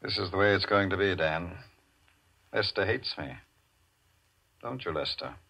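An American man playing a cold, domineering gambler in a 1940s radio drama, with a husky voice and a commanding, mocking, measured delivery. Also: low voice, animated, sharp tone, full character voice. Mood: cold contempt. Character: Barney, cold domineering high-stakes gambler, taunting and fearless. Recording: muffled old radio recording.

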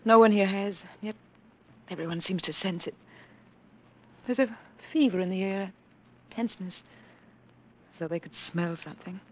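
A woman's voice: medium pitch, moderate pace, pauses often, darkish tone, natural voice. An actress playing an anxious, tender woman in love, in earnest, theatrical mid-century radio drama style. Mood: grave, pleading. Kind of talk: 1940s-50s radio drama acting. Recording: muffled, noisy old radio recording.

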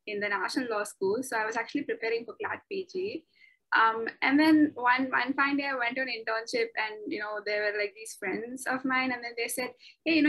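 A woman's voice: fairly high pitch, bright, forceful tone, poor audio. A young woman in her twenties from Chennai, chatting in an interview about her law studies with many fillers and restarts. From Chennai, India. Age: 20s. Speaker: interview guest, law graduate. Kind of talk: casual interview talk.

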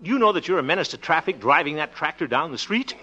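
A man's voice: fairly high pitch, lively intonation, fast, sharp tone, slightly rough, heavily acted. An actor playing a blustery, sarcastic small-town man in a 1950s radio sitcom, theatrical and easily exasperated. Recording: noisy, muffled 1950s radio recording.

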